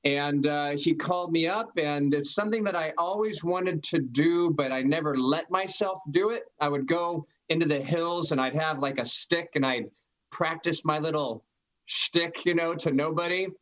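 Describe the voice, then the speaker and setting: A man with a slightly high voice chats as a podcast guest. He is jokey and talks in loose, rambling stories. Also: normal speed, a bit bright, highs cut off. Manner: jokey, chatty storyteller. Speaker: comedian guest. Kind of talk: casual interview chat.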